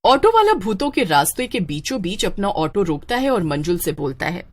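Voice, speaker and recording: A woman narrating a scripted horror story in a dramatic, expressive way. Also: mid-range voice, normal speed.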